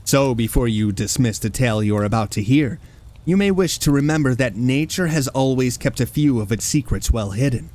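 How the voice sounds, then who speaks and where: A man hosting a horror story show, delivering scripted narration in a measured, solemn and portentous manner. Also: medium pitch, lively intonation, darkish tone. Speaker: host introducing horror radio plays.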